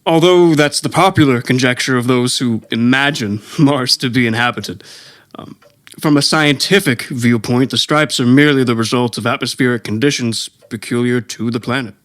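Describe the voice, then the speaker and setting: Radio-play astronomer, male actor, skeptical academic, mid-pitched, matter-of-fact, slightly hesitant, 1930s style. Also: moderate pace, a bit bright, natural voice. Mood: dismissive, scholarly. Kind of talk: 1930s radio drama interview.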